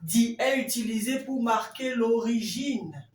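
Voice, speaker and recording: Language teacher, mid-pitched and unhurried, didactic, repeating examples, recorded from a distance in a room. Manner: didactic, repeats example phrases slowly and deliberately. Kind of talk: classroom Italian lesson explained in French. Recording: roomy echo, distant mic, faint noise.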